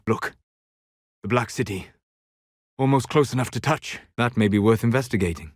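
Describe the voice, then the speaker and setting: An actor playing a solemn, learned elven mage in a video game, speaking in measured, formal, eloquent phrases with a grave, regretful manner. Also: male voice, fairly low pitch, dry recording, studio quality, lightly acted. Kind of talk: video game character dialogue.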